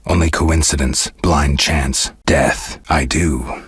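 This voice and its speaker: Stoic middle-aged monster hunter, extremely low pitch, heavy husky rasp, laconic, world-weary, video game dialogue. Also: male voice, fairly even intonation, slow, a bit bright, no room sound, heavily acted. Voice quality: deep, gravelly, husky. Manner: dry, laconic, restrained delivery.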